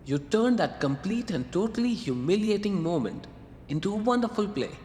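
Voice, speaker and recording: A man playing a calm, gently teasing male muse in a radio drama. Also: high voice, normal speed. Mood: wry. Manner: wry, calm, coaxing. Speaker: the writer's muse. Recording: long reverb, faint noise.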